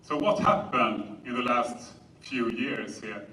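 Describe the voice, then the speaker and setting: A man with a Swedish accent presents to an audience in a bright, clear-cut tone. His delivery is measured and explanatory, like a conference talk. The sound is roomy and picked up from a distance, with a little noise. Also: medium pitch. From Sweden. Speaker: conference speaker. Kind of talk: public presentation lecture.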